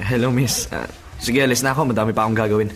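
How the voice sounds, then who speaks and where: A man playing a gruff, busy market porter in a Filipino radio drama. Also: medium pitch, fairly even intonation, fast talker, close to an everyday voice. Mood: brisk. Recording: noisy market ambience.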